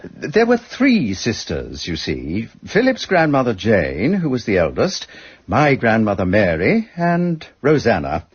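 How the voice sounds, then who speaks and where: Low, husky English solicitor. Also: male voice, huge pitch swings, dull audio, exaggerated voice. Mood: measured recounting. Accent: educated English. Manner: measured, formal, obliging. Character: urbane, courteous English solicitor, smooth-mannered and guarded. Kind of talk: radio drama acting.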